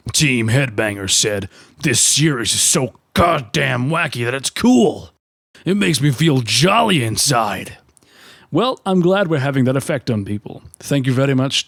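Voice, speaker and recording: A man with a mid-pitched voice works as co-host, reading fan mail in a scripted comic style. The recording is dry, with hardly any room sound. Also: fairly bright tone, no room sound.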